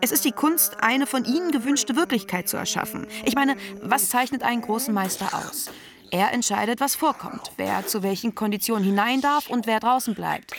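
A woman's voice: fairly high pitch, normal speed, natural voice. A woman playing a defiant, sardonic young feminist activist delivering a polemical monologue in a radio play. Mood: sardonic, combative.

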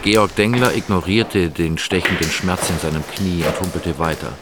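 An actor playing a terse detective in a crime audio drama, both narrating and speaking the dialogue. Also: male voice, low voice, normal speed, very noisy, close to an everyday voice. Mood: terse, focused. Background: music.

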